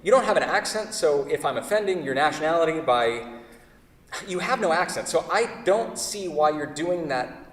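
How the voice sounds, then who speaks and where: A flustered man, played by a male actor, halts and stammers in a staged play reading. His voice is slightly high and very animated. It carries from a distance in an echoing hall. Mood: exasperated. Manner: halting, stammering, nervous. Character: flustered, awkward man selling a beloved table. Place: theatre stage. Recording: echoey theatre hall, distant mic.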